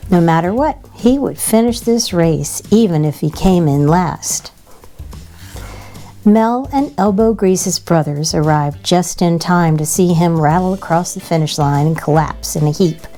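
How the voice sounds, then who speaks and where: Slow, mellow woman reading a storybook. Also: fairly low pitch, faint music behind. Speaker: children's storybook reader. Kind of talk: expressive read-aloud narration for children.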